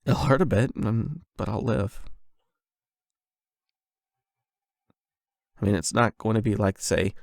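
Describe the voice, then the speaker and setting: Man playing a low-voiced, nervous lovestruck young guy. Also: creaky, darkish tone, no room sound, clean studio sound. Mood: earnest, vulnerable. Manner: halting, awkward, self-doubting, with sighs and stammers. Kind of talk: intimate ASMR roleplay monologue.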